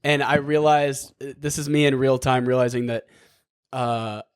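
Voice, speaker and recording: A man hosting a podcast, talking casually with halting restarts and lots of filler words. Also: mid-range voice, completely flat delivery, clear, resonant tone, dry recording, clean studio sound. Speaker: podcast host. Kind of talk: casual chat.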